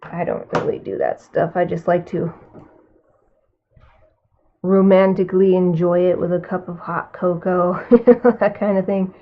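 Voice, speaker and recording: A woman's voice: on the low side, little pitch movement, measured pace, slight background noise. Chatty Californian woman, tinny sound. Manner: chatty, muses aloud, drifts between chat and murmuring to herself. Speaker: artist streaming live painting. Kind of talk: casual chat while painting.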